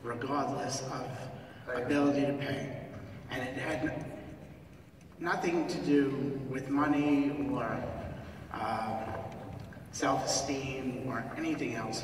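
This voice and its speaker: A man in his fifties, a physician, speaking at a town hall in a halting, earnest way and clearing his throat between phrases. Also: mid-range voice, background noise. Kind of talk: public comment at a town hall. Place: large theater hall. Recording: echoey theater heard from far off.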